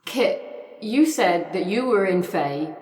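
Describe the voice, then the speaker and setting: A woman's voice: mid-range voice, expressive, takes it slowly, darkish tone. A woman plays a tabletop roleplaying game in casual, improvised talk. She sounds away from the microphone, in a very roomy space with a long reverberant tail. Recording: echoey reverberant room, distant from mic.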